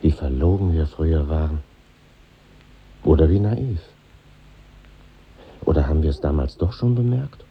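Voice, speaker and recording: An actor playing a reflective middle-aged conductor revisiting his old school, husky and musing, in a 1950s German radio drama. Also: rumbling low, narrow, tinny audio, exaggerated voice. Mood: musing, nostalgic. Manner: musing, pausing, nostalgic interior monologue.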